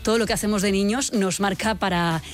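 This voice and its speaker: A woman's voice: medium pitch, fairly even intonation, fairly quick. A woman with a Castilian Spanish accent hosting a live radio show, talking in a chatty, informal, conversational way.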